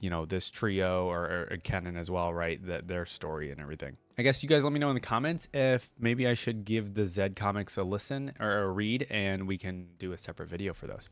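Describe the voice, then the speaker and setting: A man with a fairly low voice speaks in a casual, conversational way as a reaction host. He moves between reading aloud and offering his own commentary to viewers. The sound is very dry, with no room around it. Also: a little fast, few pauses, no echo, faint music behind.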